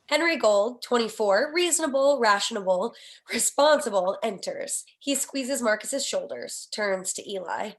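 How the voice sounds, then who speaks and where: High-voiced woman reading screenplay directions. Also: lively intonation, unhurried. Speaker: stage direction reader. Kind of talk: scripted screenplay narration at a table read.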